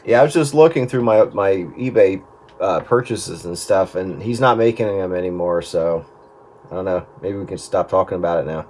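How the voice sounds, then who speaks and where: Man, lowish voice, hesitant casual talk, radio transmission, light static. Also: normal speed, darkish tone. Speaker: amateur radio operator.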